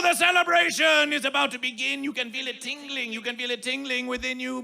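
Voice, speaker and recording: A very high-pitched, piercingly bright male festival emcee proclaims everything in a grandiose, chanting way. His delivery is very slow and drawn out, theatrical and repetitive, on a live stage PA with music behind. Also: vocal fry. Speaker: festival master of ceremonies.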